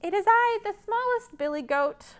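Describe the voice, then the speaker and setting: A woman's voice: extremely high pitch, lively intonation, moderate pace, voice pushed up. A woman voicing the smallest billy goat in a storybook, young, timid and pleading. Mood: frightened, pleading.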